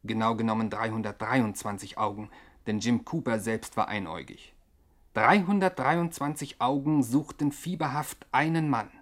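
A man's voice: mid-range voice, normal speed. A man narrates a 1960s radio crime drama. His mid-range voice is emphatic and mock-dramatic, reading scripted lines with pointed weight.

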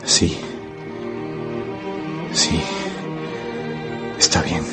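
An actor playing a polite, hesitant, studious young historian in a radio drama. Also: male voice, extremely slow. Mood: pensive. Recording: music behind the voice.

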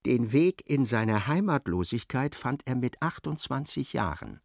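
A man narrating a literary radio drama in a measured, articulate, storytelling way. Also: medium pitch, expressive, creaky, no echo, clean studio sound.

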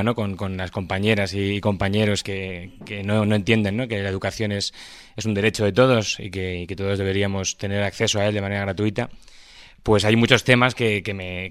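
A man with a Madrid accent and a smooth, fairly low voice, recorded dry. He speaks off the cuff as a teacher at a public debate, hesitating as he looks for words and tacking little questions onto his phrases. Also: fairly bright tone, no echo. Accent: Madrid Spanish. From Madrid, Spain. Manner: hesitant, searching for words, frequent tag questions. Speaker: schoolteacher speaking from the audience. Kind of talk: impromptu speech at a public debate.

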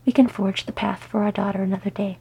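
A woman's voice: mid-range voice, steady tone, quick pace, creaky, mellow, husky, rough recording. A woman voicing a firm, sensible, affectionate older dwarf wife with an emphatic, scolding manner in a fantasy story. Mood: firm, fond.

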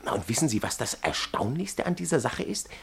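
A man's voice: medium pitch, big pitch swings, a little fast, vocal fry, breathy edge, heavily acted. A man playing a chatty, obsequious police officer in a radio play, rambling and digressing in a servile way. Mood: rambling, confiding. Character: chatty, obsequious, near-sighted male police officer angling for money.

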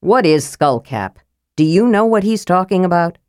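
A serious half-elf man, read by a woman, low in pitch and recorded dry. He leads with direct, probing questions in a plain storybook delivery. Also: expressive, normal speed, a little mellow, dry recording, close to an everyday voice. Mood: pressing. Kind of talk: audiobook character voice.

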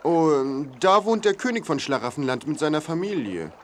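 A man's voice: fairly high pitch, very animated, moderate pace, slight background noise. A man playing a serious local in a fairy-tale land for a children's audio play. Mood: serious, hesitant.

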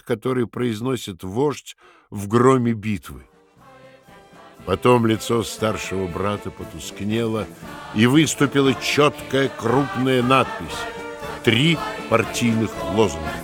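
Male narrator, unhurried, medium pitch, dry recording, scripted dramatic narration. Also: dry recording.

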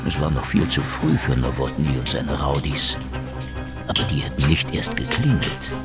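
An actor playing a cynical, sardonic, menacing Soviet private detective who also narrates, in a hardboiled radio crime drama. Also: male voice, extremely low pitch, steady tone, normal speed, loud music behind the voice. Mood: wry, brooding. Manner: sardonic, world-weary.

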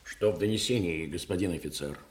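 An actor playing a loyal princely officer, an earnest and dramatic confidant, in a radio drama. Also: male voice, sits low, brisk, background noise, close to an everyday voice. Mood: worried. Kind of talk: Soviet-era radio drama acting.

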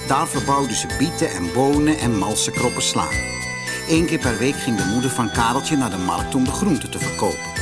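Expressive male fairy tale narrator. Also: mid-range voice. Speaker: storyteller, audiobook narrator.

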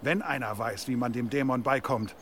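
A man's voice: medium pitch, normal speed, a bit bright, a little hiss or hum, natural voice. An actor playing a pragmatic, shrewd henchman in a German historical audio drama, reasoning in an urging manner. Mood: urgent, reasoning. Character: pragmatic, shrewd male henchman advising his leader.

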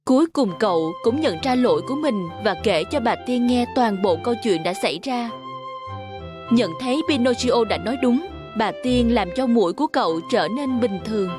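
A woman's voice: fairly high pitch, animated, normal speed, over music. A woman narrating a scripted fairy tale for children.

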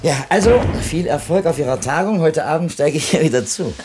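An actor playing an earnest, reflective literary editor in a naturalistic radio play, with hesitant, dryly ironic turns. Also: male voice, on the high side, animated, fairly quick, heavy background noise, close to an everyday voice. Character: earnest, musing male publishing editor visiting an author.

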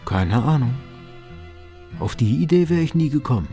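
A man's voice: mid-range voice, lively intonation, normal speed, mellow, soft background music, natural voice. An actor playing a disillusioned, timid middle-aged architect and father in a radio drama, speaking in a subdued, terse way. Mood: subdued, reticent. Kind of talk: German radio drama acting.

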